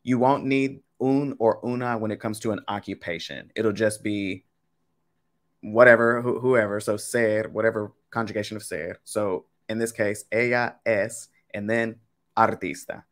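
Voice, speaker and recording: A low-pitched young man running a live-streamed language quiz from his home setup. He speaks in a loose, chatty way, addressing the chat directly, with a somewhat deep voice. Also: steady tone, normal speed, fairly bright tone. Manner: casual, chatty, talks to chat with y'all. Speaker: Spanish teacher. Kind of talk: live-streamed game lesson.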